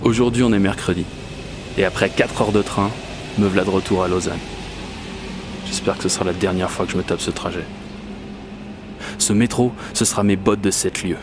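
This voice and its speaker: A man acting out an obsessive, whimsical dreamer in a radio drama. His low voice confides in a colloquial, self-mocking way, like a private monologue, over clear urban and transit ambience. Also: steady tone, moderate pace, long pauses, background noise, close to an everyday voice. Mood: confiding, determined. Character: obsessive, whimsical Parisian man confiding a magical commuting quest. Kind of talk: first-person radio fiction monologue. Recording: urban and train ambience behind voice.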